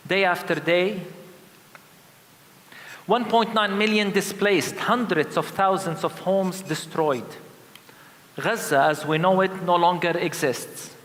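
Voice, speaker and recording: High-voiced Palestinian pastor preaching in echoing church. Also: male voice. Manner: grave, forceful, deliberate. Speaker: Lutheran pastor. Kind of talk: sermon. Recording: echoing church with long reverb.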